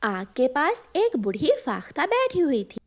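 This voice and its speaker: High-voiced woman narrating a children's story. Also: big pitch swings, unhurried.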